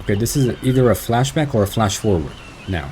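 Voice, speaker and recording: A man's voice: lowish voice, lively intonation, a little fast, a little hiss or hum. A man chatting casually while playing a video game, rambling and reacting to the action. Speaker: gaming channel host. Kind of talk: let's play commentary. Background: loud game music.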